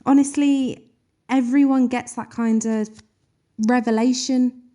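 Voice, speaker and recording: A woman's voice: fairly high pitch, fairly even intonation, measured pace, warm tone, natural voice. A woman with a British accent acting a practical, down-to-earth spaceship crewmate in an audio drama, blunt and chatty. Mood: frank, reassuring. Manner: blunt, chatty, matter-of-fact.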